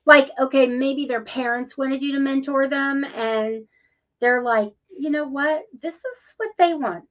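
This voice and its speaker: Female co-host, rambling and chatty, slightly high voice, muffled sound. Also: measured pace. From Ohio, USA. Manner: chatty, rambling, with many ums. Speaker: podcast co-host. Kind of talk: casual interview conversation.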